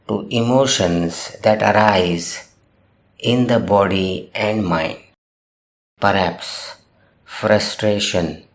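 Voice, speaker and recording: A man narrating a guided meditation, giving gentle instructions in short, measured phrases with long pauses between them. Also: fairly low pitch. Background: music.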